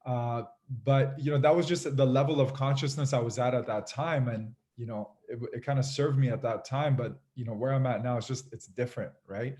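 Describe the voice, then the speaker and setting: This is a man with a medium-pitched voice, speaking as an interview guest. He tells his own story casually and reflectively. His delivery is halting, full of small restarts and you-knows. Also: steady tone, warm tone. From Iran, moved to Canada at eight. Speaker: podcast guest, fitness group leader.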